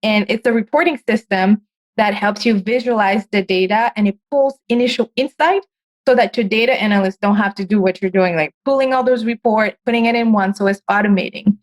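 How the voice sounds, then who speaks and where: A woman hosting a podcast, chatting casually with a guest, animated and quick to laugh, often jumping up in pitch. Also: low-quality recording. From United States. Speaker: podcast host. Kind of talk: casual interview chat.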